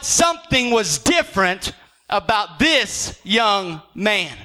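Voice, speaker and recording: A male pastor preaching a sermon, rising into shouts and half-sung phrases and acting out quoted voices. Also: very high-pitched.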